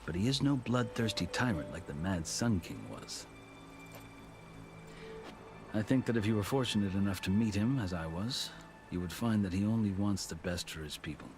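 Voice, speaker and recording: Seasoned warrior in a video game, low voice, earnest and eloquent storyteller, music playing behind him. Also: male voice, expressive. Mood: earnest, hopeful. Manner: measured, formal, eloquent storytelling. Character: seasoned, proud male warrior turned lawgiver, earnest storyteller. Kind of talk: scripted video game cutscene dialogue.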